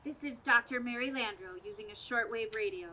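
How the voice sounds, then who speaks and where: A woman's voice: a little high, brisk, pushed and bright, rough recording. A woman playing an earnest, awkward scientist giving a hesitant eyewitness report in a radio play parody. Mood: forlorn, hesitant. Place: outdoor community theater stage in parking lot. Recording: outdoor stage recording, faint noise.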